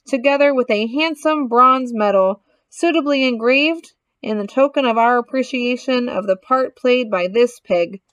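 A pompous male fairground announcer, acted out by a woman reading a storybook. The delivery is grand and ceremonious, declaimed in a high voice. The sound is close and dry. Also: fairly bright tone, clean tone, no room sound.

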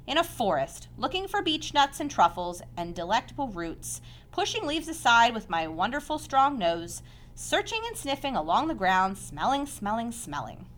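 A woman's voice: a little high, sing-song, moderate pace, a bit bright, a little hiss or hum, voice pushed up. Woman as an earnest, innocent little storybook pig. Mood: wistful. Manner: earnest, childlike, eager. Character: earnest, innocent young male pig, anxious and affectionate.

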